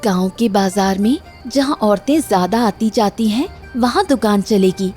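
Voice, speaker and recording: A woman's voice: medium pitch, moderate pace, a little mellow, faint music behind, natural voice. A woman voicing a sincere, hopeful young village wife in a cartoon moral story, with earnest emotion. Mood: playful.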